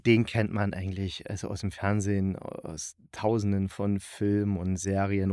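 A man's voice: lowish voice, a little mellow, no echo, clean studio sound. A man hosting a podcast, giving a chatty review while thinking aloud and correcting himself. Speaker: podcast host and radio drama critic. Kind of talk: chatty, loosely scripted review monologue.

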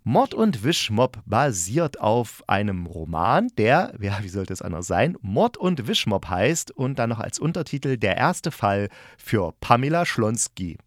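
A man's voice: fairly low pitch, sing-song, a little slow, no room sound, studio quality. A man hosting a casual spoken review, chatting informally and thinking aloud as he goes. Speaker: podcast host reviewing audio dramas.

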